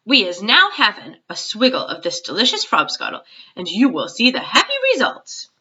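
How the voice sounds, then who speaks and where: A woman voicing a big, kindly, excitable old male giant from a storybook, with mangled grammar and nonsense words. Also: mid-range voice, normal speed, lo-fi sound. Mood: gleeful.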